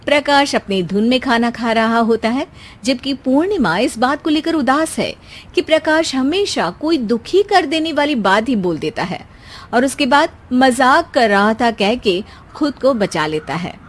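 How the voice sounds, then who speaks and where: A woman narrating a cartoon moral story in calm, scripted storytelling. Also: high-pitched, unhurried.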